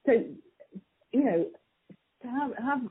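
A woman's voice: high-pitched, expressive, slow, husky. A woman answering interview questions as a strategy executive, earnest and emphatic, on a poor-quality muffled recording. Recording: poor, muffled phone-like lo-fi sound.

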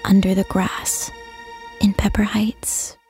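An eerie, knowing woman in an audio drama trailer. She speaks very evenly and slowly, close to a whisper. Faint music sits behind her. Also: medium pitch, flat delivery, dark tone. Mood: ominous, knowing. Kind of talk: fiction podcast trailer acting.

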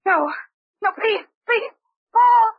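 A woman's voice: very high-pitched, animated, slow, shouting, full character voice. An actress in an old-time radio drama playing the mistress of a murderous man, melodramatic and emphatic. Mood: terrified pleading. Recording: dull old radio recording.

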